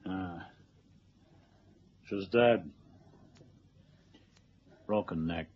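Steadying agent, calm, slow, muffled noisy old radio drama. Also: male voice, deep voice. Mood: grave. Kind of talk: 1970s radio drama acting.

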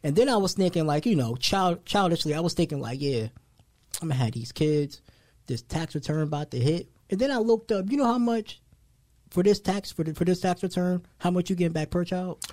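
A man in his thirties hosts a casual podcast chat, loose and full of slang. His voice sits a touch high for a man. He gets animated when he makes a point. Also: brisk. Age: 30s. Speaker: podcast host.

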